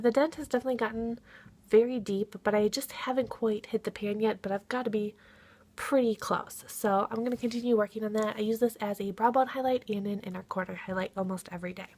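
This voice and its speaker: Chatty young woman, slightly sniffly. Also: on the high side. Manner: chatty, talks through products she shows; drops to a quiet voice to avoid being overheard. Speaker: beauty vlogger. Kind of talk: casual makeup progress update.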